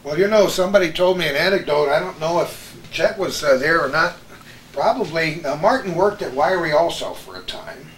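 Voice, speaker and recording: A man's voice: on the high side, expressive, a little fast, a bit bright. An older man hosting a casual reminiscing chat, telling anecdotes in a chatty way. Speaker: host. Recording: echoey room with background hiss.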